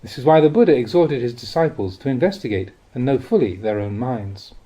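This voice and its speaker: A man reading spiritual teachings as scripted narration, in a measured, instructive way with deliberate phrasing. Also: mid-range voice, lively intonation, warm tone, slightly rough. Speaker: reader of Buddhist teachings.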